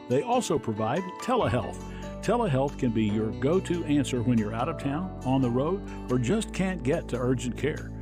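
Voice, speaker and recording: Mid-pitched male radio ad voice. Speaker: ad announcer. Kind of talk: scripted radio ad read.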